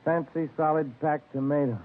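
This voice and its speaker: A man's voice: fairly high pitch, steady tone, moderate pace, natural voice. A man playing a keyed-up police officer, giving short, clipped reports in 1950s radio drama style. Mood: keyed-up, brisk. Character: keyed-up male police officer at an arrest scene. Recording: tinny, noisy vintage radio recording.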